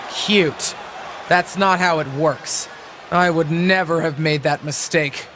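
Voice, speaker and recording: A woman's voice: sits low, takes it slowly, a bit bright, a little hiss or hum. A woman playing a bitter, scheming older wrestling legend in a video game, taunting and condescending like a promo. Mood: condescending, proud. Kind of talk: dramatic wrestling promo acting.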